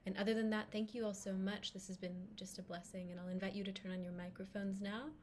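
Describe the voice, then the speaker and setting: A woman's voice: medium pitch, level tone, fast, a little mellow. Gracious woman hosting, faint background noise. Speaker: event host. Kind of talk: closing announcements, semi-scripted. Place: video call.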